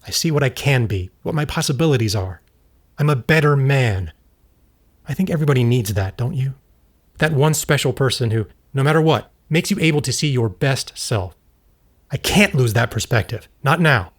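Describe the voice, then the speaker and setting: A man's voice: mid-range voice, expressive, moderate pace, close to an everyday voice. A man playing an earnest, troubled character in an audio drama, making sincere, intense appeals. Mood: earnest, uneasy.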